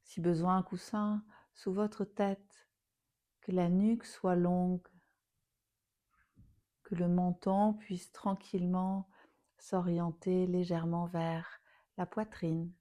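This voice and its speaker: Female meditation guide, lowish pitch, unhurried and soothing, phrases fading softly into breath. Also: little pitch movement. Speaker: yoga nidra teacher.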